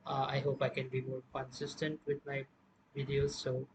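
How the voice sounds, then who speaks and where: A man presenting an informal music production software tutorial, explaining step by step in a halting way. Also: mid-range voice, completely flat delivery, background noise.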